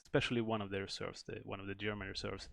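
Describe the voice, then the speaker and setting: A man from Sweden, a game developer explaining at length in a stream interview, with many pauses and restarts. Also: lowish voice, level tone, creaky, no room sound, studio quality.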